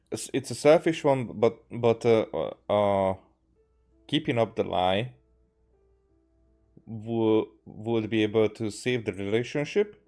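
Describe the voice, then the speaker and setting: Low-voiced man, halting game commentary. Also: a little mellow. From Hungary. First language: Hungarian. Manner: halting, stammering, earnest. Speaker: game streamer. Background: game music.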